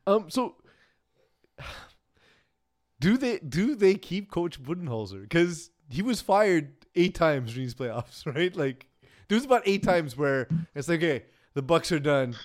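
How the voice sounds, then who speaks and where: A man co-hosting a casual sports talk show, rambling and laughing as he goes, often trailing off quietly. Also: a little high, big pitch swings, a little slow. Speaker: podcast co-host.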